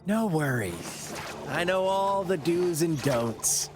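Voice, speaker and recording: A man's voice: fairly high pitch, expressive, takes it slowly. An actor playing a sly, mocking young male villain in a game cutscene, teasing and taunting. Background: game music.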